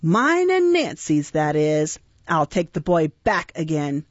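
A woman reading an audiobook, voicing a brutal grown male housebreaker who speaks in a gruff, blunt, menacing way, on a dull, dry recording. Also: sits low, sing-song, takes it slowly, no echo, heavily acted.

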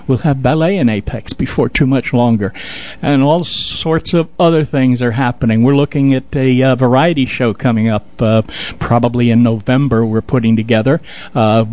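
Chatty guy co-hosting a casual radio show, medium pitch, stops and starts while plugging stuff. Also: steady tone, normal speed, darkish tone, dull audio. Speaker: radio show co-host and local theater director.

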